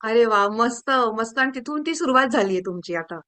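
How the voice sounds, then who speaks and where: A woman's voice: on the high side, lively intonation, moderate pace, pushed and bright, no echo. A woman interviewer, asking questions in an encouraging way and mixing in English phrases. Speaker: interviewer host.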